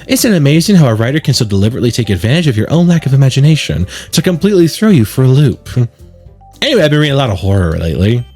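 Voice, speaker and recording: Guy voicing gentle bookish schoolgirl in a visual novel, thoughtful, bit husky, faint music. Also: medium pitch, big pitch swings, very fast. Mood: passionate. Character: gentle, reserved schoolgirl passionate about novels. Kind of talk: reading visual novel dialogue.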